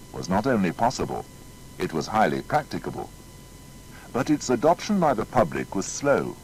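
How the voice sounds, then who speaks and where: A man's voice: low voice, a little hiss or hum, low-quality recording. A man narrating a scripted biography with emphatic, rhetorical delivery.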